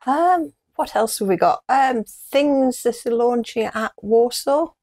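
A woman's voice: a little high, slow pace, a little mellow. A middle-aged woman with a British accent, chatting casually as the co-host of a show. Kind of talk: casual chat. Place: small wood-panelled room, indoors.

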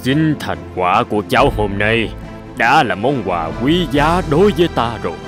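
A man voicing a kindly older mentor in a cartoon, speaking in a measured, earnest and encouraging way. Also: mid-range voice, big pitch swings, music in the background, natural voice. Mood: proud, tender. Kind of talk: animated cartoon dialogue.

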